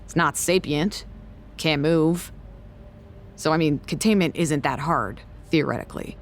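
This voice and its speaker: A woman acting a guarded, suspicious researcher in an audio drama, measured, dry and skeptical. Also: sits low, slight background noise. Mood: grim, reasoning.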